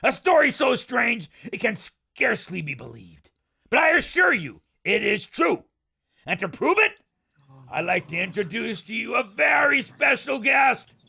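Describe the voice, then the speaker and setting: A man playing a brash, boastful movie producer in an old-time radio adventure, raspy and theatrical like a salesman. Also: very high-pitched, big pitch swings, measured pace, sharp tone, heavily acted. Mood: grand, proclaiming.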